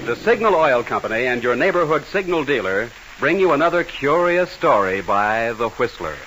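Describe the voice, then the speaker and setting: A man's voice: medium pitch, sing-song, moderate pace, low-quality recording. A man announcing on 1940s radio, reading scripted copy with an emphatic, polished sales delivery. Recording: hissy old radio broadcast.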